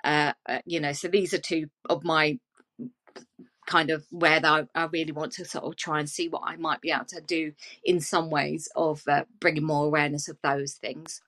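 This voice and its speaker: A woman's voice: low voice, little pitch movement, moderate pace, a bit bright. A woman artist speaking as a guest on an online panel over a video call, gushing with enthusiasm.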